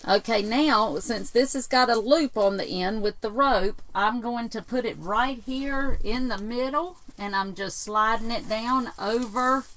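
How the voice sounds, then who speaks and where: A woman co-hosting a casual livestream craft demo, walking viewers through steps in a relaxed, agreeable way. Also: fairly high pitch, unhurried, few pauses. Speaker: co-host, home decor designer, mother.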